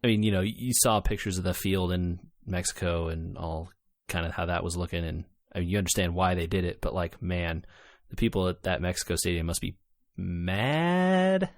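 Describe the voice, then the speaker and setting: A man's voice: sits low, normal speed, dry recording, studio quality. A man co-hosting a podcast in casual sports chat, talking in a loose, hesitant way. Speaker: podcast co-host.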